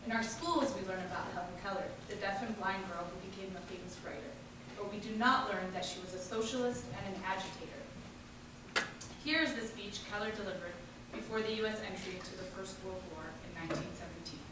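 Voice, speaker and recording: Woman introducing a reading, medium pitch, far from mic, loads of noise. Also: fairly quick. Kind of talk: scripted introduction. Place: large indoor hall at a live event. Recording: echoey hall, distant mic, loud noise.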